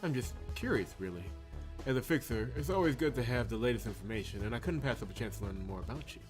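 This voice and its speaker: A man's voice: medium pitch, animated, fast talker, close to an everyday voice. A man reading the lines of a curious, pragmatic young woman detective from a visual novel, with music behind.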